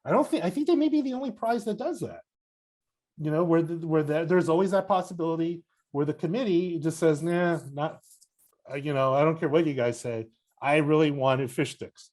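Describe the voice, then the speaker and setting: A man's voice: on the high side, moderate pace, darkish tone. A man talking as a guest on a podcast, a book critic who rambles with lots of "you know" and restarts. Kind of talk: casual podcast conversation.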